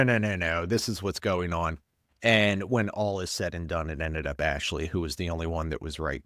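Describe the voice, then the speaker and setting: A man's voice: low voice, a bit bright, dry recording, clean studio sound. A man hosting a podcast, sounding congested and stuffed up, rambling and blunt in casual commentary. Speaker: podcast host, paramedic.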